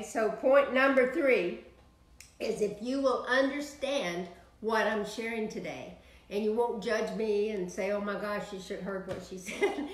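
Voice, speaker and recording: A woman's voice: medium pitch, echoey room, poor audio. An older woman with a Texan accent, preaching to an online audience from a home office. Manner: animated, preaching with sweeping hand gestures. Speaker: minister. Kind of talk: prayer broadcast sermon.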